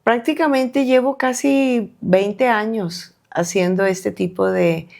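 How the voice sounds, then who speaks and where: A middle-aged woman from Colombia, a doctor being interviewed on a podcast, speaking in a halting way with many fillers and restarts. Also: medium pitch, gravelly, a little mellow. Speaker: guest doctor.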